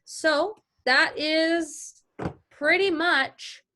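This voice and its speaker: A woman's voice: high-pitched, drawn-out words, bright, forceful tone, slight background noise. A woman teaching an informal online lesson, explaining and answering questions in a conversational way. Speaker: voice-over artist teaching a lesson.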